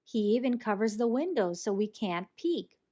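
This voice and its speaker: A woman reading a children's storybook aloud in an expressive, animated way that acts out the action. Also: on the high side, normal speed. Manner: animated, acts out sound effects and character lines. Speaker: storybook reader.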